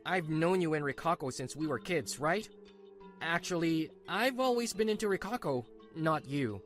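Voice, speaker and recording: A woman's voice: deep voice, lively intonation, normal speed, soft background music. A woman voicing a smug, sneering middle-aged husband in a manga dub. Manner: sneering, dismissive.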